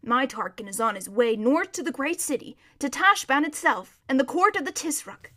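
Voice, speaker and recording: A woman's voice: high-pitched, lively intonation, normal speed, pushed and bright, voice pushed up, heavily acted. A woman reading a storybook as a proud, bossy but kindly male war horse, with an emphatic, lecturing manner. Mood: gentler. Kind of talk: children's storybook character reading.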